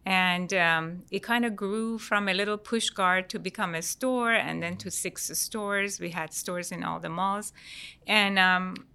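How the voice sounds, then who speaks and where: A middle-aged woman from Iran, an interior designer talking through her career as a podcast guest, relaxed and full of fillers. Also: medium pitch, unhurried, gravelly, clean tone. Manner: relaxed, many fillers and restarts. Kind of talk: conversational interview answers.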